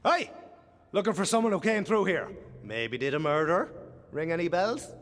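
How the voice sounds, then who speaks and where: A man's voice: high-pitched, big pitch swings, normal speed, a bit bright, long reverb tail. A man voicing a glib, sardonic, cocky mercenary in a video game. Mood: breezy. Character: cocky, wisecracking male mercenary detective.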